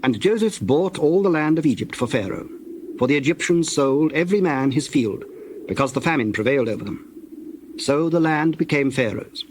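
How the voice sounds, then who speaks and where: A man narrating scripture from a script in a measured, solemn storytelling manner. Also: a little high, animated, slight background noise.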